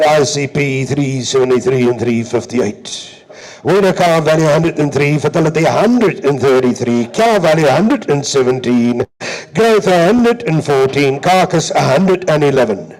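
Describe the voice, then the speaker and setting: Man, South African accent, livestock auctioneer, fairly high voice, rhythmic repetitive calling, showy sales patter. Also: a little mellow, low-quality recording.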